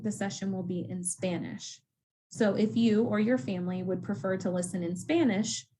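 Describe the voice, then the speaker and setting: A woman's voice: mid-range voice, warm tone, rough recording. A woman who works at a school walks students through course selection in a friendly, casual way, with frequent ums. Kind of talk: casual informational talk to students.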